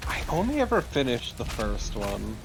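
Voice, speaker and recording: A man's voice: medium pitch, huge pitch swings, normal speed, creaky, over music, poor audio. A man co-commentating on a let's play in a casual, wry way, with game sound behind him.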